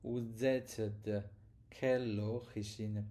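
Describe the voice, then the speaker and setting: An adult man learning French, repeating practice phrases slowly and stumbling over words as he records himself. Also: on the low side, flat delivery, mellow. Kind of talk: self-recorded pronunciation practice.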